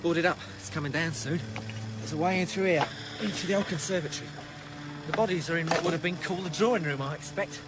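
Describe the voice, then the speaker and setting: A man's voice: on the high side, fast talker, close to an everyday voice. An actor playing a nervous, streetwise male police informant in a radio drama, wary and colloquial. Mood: wary, hushed. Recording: loud music underneath.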